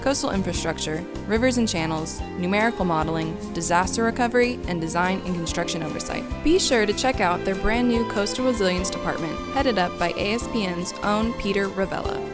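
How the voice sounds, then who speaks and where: A woman's voice: medium pitch, a little fast, few pauses. A woman reading a scripted sponsor message in an upbeat, promotional way. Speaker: network sponsor announcer. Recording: voice over loud music bed.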